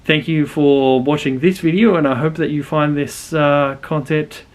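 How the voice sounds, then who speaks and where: A man's voice: fairly high pitch, steady tone, normal speed. A young adult man with an Australian accent, introducing his channel in a rambling, earnest way with many restarts. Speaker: channel host. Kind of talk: casual talking-to-camera channel intro.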